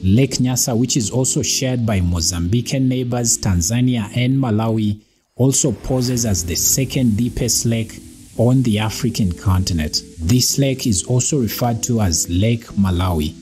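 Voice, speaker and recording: A man who hosts a channel, reading a scripted narration of facts in a measured, teaching tone. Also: mid-range voice, dark tone, soft background music. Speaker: channel host. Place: voice-over with background music.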